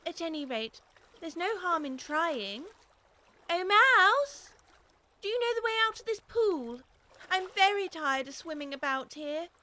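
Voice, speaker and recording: A woman's voice: very high voice, big pitch swings, measured pace, sharp tone, heavily acted. A woman playing a polite, curious little girl in an audiobook, earnest and musing aloud. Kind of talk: full-cast audiobook acting.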